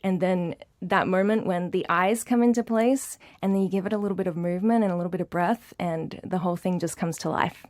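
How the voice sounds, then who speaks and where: A woman's voice: on the low side, a little fast, hardly any pauses, a little mellow, no echo, clean studio sound. A middle-aged woman whose first language is Dutch, a guest on a radio interview, bubbly and laughing often, with fillers and restarts. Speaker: art academy director, guest.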